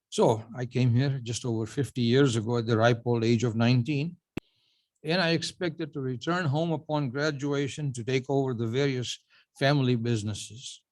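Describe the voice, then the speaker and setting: An older man in his seventies, originally from Uganda, delivers a prepared acceptance speech. He tells his life story at a measured pace, with dry, self-mocking humor. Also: medium pitch, a little mellow. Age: 70s. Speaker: award honoree giving a speech.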